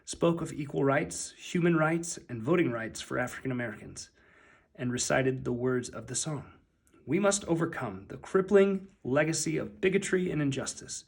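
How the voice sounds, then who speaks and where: A man reading a children's picture book aloud as narrator, with deliberate, emphatic phrasing. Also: mid-range voice, gravelly, breathy edge.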